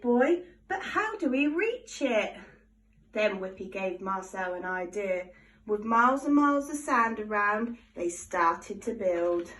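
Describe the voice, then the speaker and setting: A woman reading a children's picture book aloud in an expressive, animated storytelling manner. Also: fairly high pitch, distant mic, rough recording. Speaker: storybook reader.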